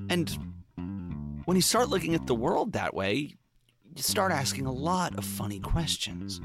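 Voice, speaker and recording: A jaded male private detective narrates his case with sardonic, world-weary asides in a noir audio drama. His voice is mid-pitched, with plucked guitar music playing underneath. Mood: uneasy, insistent.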